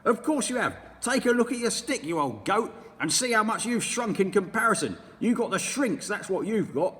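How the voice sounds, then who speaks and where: A man playing a nasty old man, gloating, taunting, loud and raised, echoing in a hall. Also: high-pitched, big pitch swings, normal speed, voice pushed up. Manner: taunting, mock-solemn.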